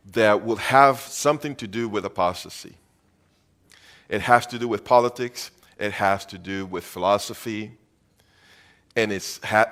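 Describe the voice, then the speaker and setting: Slow, low-voiced preaching man. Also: fairly bright tone. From Venezuela. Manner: earnest, emphatic preaching with repeated phrases. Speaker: pastor. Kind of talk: sermon.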